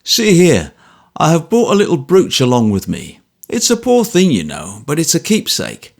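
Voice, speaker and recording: A man as a troubled, gruff ex-soldier. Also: a little high, wildly swooping pitch, pitched above the performer's own voice, exaggerated voice. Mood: earnest. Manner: earnest, apologetic, halting.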